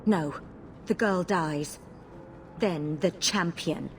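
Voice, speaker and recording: A woman's voice: on the low side, very slow, a little hiss or hum. A woman playing a ruthless, vengeful rebel blood mage in a fantasy video game, cold and commanding. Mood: cold threat.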